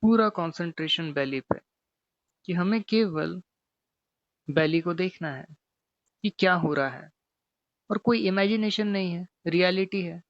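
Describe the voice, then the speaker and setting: A man teaching guided meditation, giving gently repetitive, step-by-step instructions. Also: high-pitched, unhurried, long pauses, no echo, muffled recording.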